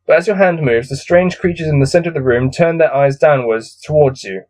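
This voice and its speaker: A man's voice: a little high, a little fast, a little mellow. Man reading a gamebook with casual asides. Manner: self-deprecating, musing aloud. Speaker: gamebook let's-play host.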